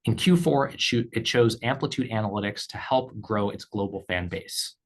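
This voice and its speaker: Low-pitched male executive reading prepared remarks. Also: a little hiss or hum. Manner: measured, formal read delivery. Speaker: company executive. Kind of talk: scripted earnings call presentation.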